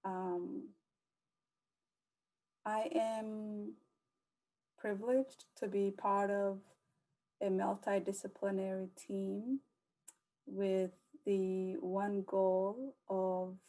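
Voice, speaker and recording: A young woman speaks slowly at a virtual panel, pausing on frequent ums. Her tone is mellow and rather flat in pitch. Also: rough recording. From United States. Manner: measured, with frequent um fillers.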